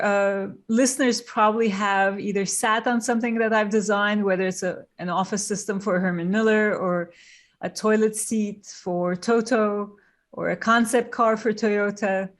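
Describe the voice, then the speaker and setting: A woman who grew up in Turkey, a guest designer on a podcast, explaining her process thoughtfully and step by step. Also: medium pitch. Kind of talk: podcast interview.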